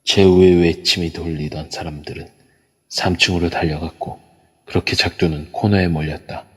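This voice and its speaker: A man narrating an audio drama in a steady, measured way. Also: sits low, husky. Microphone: phone via a voice chat app. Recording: long reverb.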